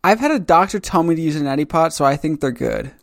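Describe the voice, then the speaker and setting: A man's voice: fairly high pitch, lively intonation, fast talker, fairly bright tone. A man hosting a casual podcast about his comic, teasing and joking with his co-host. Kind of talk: casual chat.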